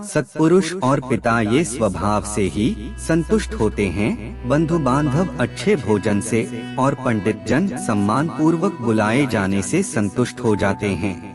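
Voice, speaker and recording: A man reading scripted maxims as a narrator, in a formal, measured and deliberate way. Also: mid-range voice.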